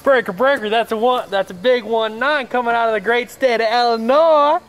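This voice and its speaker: A man's voice: very high-pitched, moderate pace, a bit bright. A man speaks casually as a helper on a hobby project. The amateur recording carries faint noise from in and around a car. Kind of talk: casual chat.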